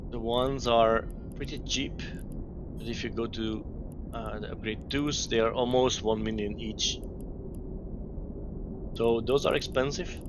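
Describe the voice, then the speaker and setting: A man from Belgium streaming a game, explaining his setup in halting speech full of fillers and restarts. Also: on the low side. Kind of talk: live-stream gameplay commentary. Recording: dull lo-fi mic with clear noise.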